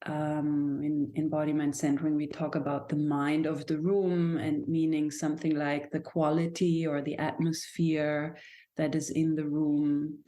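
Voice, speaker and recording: A woman's voice: sits low, little pitch movement, measured pace, mellow. A German woman speaking English as a second language, reflective and hesitant, often restarting as she searches for words. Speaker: guest, dance and movement teacher. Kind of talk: reflective podcast conversation.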